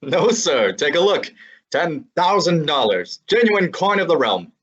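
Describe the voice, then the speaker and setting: A young man voicing a wisecracking male private eye who narrates a radio play, with a brisk, wry manner. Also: on the high side, big pitch swings, slightly rough, exaggerated voice. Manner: brisk, wry, hard-boiled. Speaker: high school drama student. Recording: muffled lo-fi recording.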